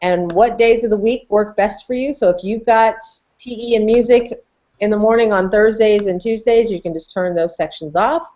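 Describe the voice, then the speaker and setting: Female co-host, middle-aged, upbeat casual demo style, medium pitch, slightly dull video-call sound. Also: steady tone, moderate pace, dark tone. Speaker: podcast co-host and educator. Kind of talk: casual chat with tool demonstration. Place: small indoor office, video call. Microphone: computer microphone on video call.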